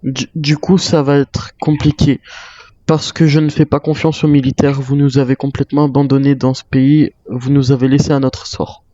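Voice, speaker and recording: Man, mellow soft-edged timbre, lo-fi mic, improvised gameplay roleplay, thinking aloud. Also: mid-range voice, level tone, normal speed. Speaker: gamer roleplaying a zombie-island survivor. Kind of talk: in-character gameplay narration, improvised.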